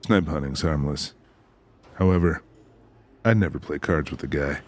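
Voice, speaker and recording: A man's voice: a bass voice, moderate pace. A man playing a guarded, laconic lone-wolf older brother in an audiobook, terse and stoic. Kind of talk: audiobook character acting.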